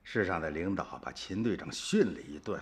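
An older man playing an old farmer grandfather in a radio drama, recounting his family's story in a folksy, unhurried way. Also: deep voice, lively intonation. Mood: reflective, troubled.

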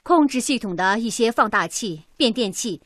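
An actress playing a matter-of-fact engineer in a radio drama, reporting technical difficulties precisely. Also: female voice, on the high side, expressive, moderate pace, a bit bright, dry recording, studio quality.

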